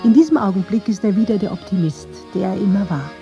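A woman narrating a scripted documentary in a measured, factual manner. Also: medium pitch, expressive, warm tone, dull audio, soft background music.

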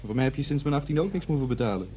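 An actor playing a defiant, aloof young left-wing student in a Netherlands Dutch radio play. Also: male voice, medium pitch, expressive, racing, mellow, natural voice. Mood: insistent. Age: young adult.

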